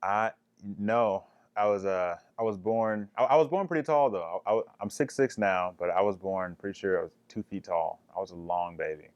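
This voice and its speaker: A man's voice: deep voice, moderate pace, smooth, dry recording, highs cut off. A young man answering interview questions in a jokey way, often repeating his words. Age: young adult. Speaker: college basketball player.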